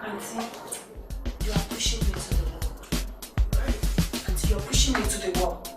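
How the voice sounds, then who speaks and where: An actress playing a young wife who begs and pleads, recorded from a distance in an echoey room with loud music. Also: female voice, mid-range voice, steady tone, normal speed, natural voice. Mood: strained.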